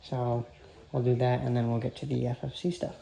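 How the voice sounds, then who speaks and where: A man giving an informal, halting show-and-tell narration as a radio hobbyist. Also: mid-range voice, level tone, warm tone. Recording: clearly noisy with clicks. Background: weather radio playing.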